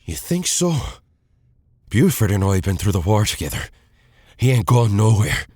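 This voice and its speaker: An actor playing a hot-headed, vengeful outlaw gang boss in a Western audio drama, with a gravelly Southern American accent and belligerent delivery. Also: male voice, lowish voice, very animated, moderate pace, warm tone, no echo, clean studio sound. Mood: defiant. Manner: belligerent, threatening.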